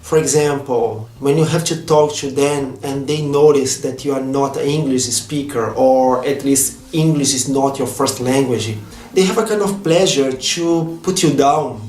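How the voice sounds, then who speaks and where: A man's voice: medium pitch, a little slow, darkish tone, echoey room. Brazilian-accented man, earnest vlog talk. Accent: Brazilian Portuguese. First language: Portuguese. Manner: earnest and heartfelt, slightly non-native phrasing. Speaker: vlogger. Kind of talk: personal vlog monologue.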